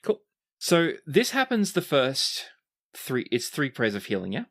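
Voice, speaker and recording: Measured male game master narrating. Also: mid-range voice, wildly swooping pitch, fairly bright tone. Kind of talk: narrating a tabletop roleplaying game.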